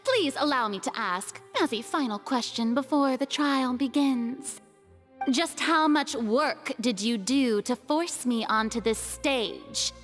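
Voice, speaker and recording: A proud young female deity from a video game, in a high voice with a slow, measured delivery. She declaims like a stage star, bold and grandiose, with music playing behind her. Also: fairly bright tone. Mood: probing, composed. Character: theatrical, proud young woman archon putting on a bold show. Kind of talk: video game character acting.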